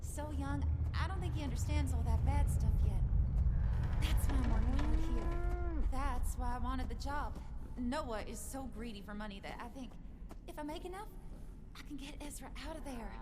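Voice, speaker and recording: High-voiced, folksy young woman. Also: lively intonation, moderate pace. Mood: wistful, candid. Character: sweet hopeful young woman who tends pack cattle. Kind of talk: video game dialogue. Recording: loud vehicle and ambient game noise.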